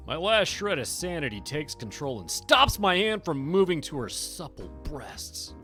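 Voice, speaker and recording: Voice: medium pitch, sing-song, unhurried, sharp tone. A streamer playing a visual novel, chatting casually and reading game text aloud in a playful, joking way, often repeating phrases. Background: game music.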